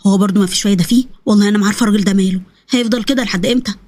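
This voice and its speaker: A woman's voice: medium pitch, fairly even intonation, rapid-fire, darkish tone, voice pushed down, natural voice. A woman doing a fretful old Egyptian grandmother. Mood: worried. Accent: Egyptian Arabic. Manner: fretful, exasperated questioning. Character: worried older Egyptian grandmother.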